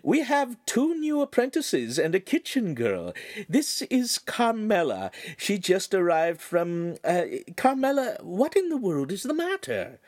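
A man reading an audiobook and voicing a cheerful, bossy noblewoman in a high, sing-song lilt. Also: huge pitch swings, measured pace, a little mellow, dry recording, heavily acted. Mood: brisk, cheerful. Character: fussy older female noblewoman, brisk and cheerful. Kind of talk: audiobook character voice.

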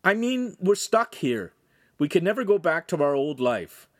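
An excitable, scruffy teenage lad performed by a male narrator from a storybook. His voice sits high and leaps about as he exclaims and pleads. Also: moderate pace, no room sound, clean studio sound. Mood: resigned.

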